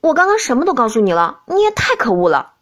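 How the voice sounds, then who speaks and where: A woman voicing a feisty, sulky young princess in an expressive audiobook style. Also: high-pitched, quick pace, full character voice. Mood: indignant. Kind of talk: audiobook character acting.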